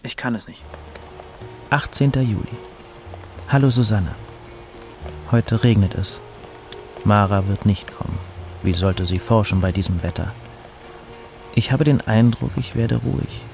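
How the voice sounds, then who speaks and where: A man's voice: on the low side, vocal fry, warm tone. An actor playing a burnt-out, melancholy male poet who speaks in a resigned, dry, hesitant way in a radio drama. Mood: weary, wry. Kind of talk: naturalistic radio drama dialogue and diary narration.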